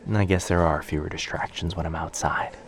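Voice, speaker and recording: Man acting a shy, deep-voiced, creaky coffee shop stranger. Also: fairly even intonation, fairly quick. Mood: thoughtful, hesitant. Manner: hesitant, soft-spoken, earnest, self-doubting. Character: shy, sweet, anxious young male stranger in coffee shop. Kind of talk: boyfriend ASMR roleplay acting.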